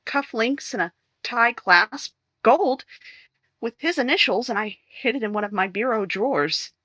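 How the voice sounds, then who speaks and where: An actress playing a distraught young wife in a 1950s-style radio crime drama, emotional, halting and pleading. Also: female voice, fairly high pitch, expressive, sharp tone, dry recording, heavily acted. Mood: anguished recounting. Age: young adult.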